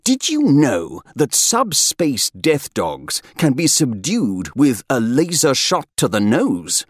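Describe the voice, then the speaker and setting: A man's voice: medium pitch, huge pitch swings, slow pace, husky, no echo, studio quality, pitched above the performer's own voice, heavily acted. A man voicing a polite, bookish young spaceman in an audiobook story, earnest and a little anxious. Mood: amiable, earnest.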